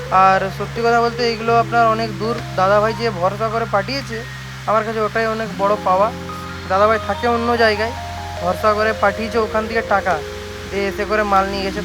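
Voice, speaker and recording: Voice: medium pitch, rough recording. A shop owner with a West Bengal accent giving an informal, insistent, repetitive promotional talk to viewers.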